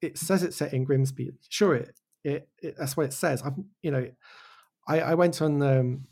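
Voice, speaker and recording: A man with an English accent, from Wales, giving casual, reflective interview answers as a show creator, often halting and restarting with real conviction. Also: fairly high pitch, creaky, a little mellow. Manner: halting, self-interrupting, passionate about own work.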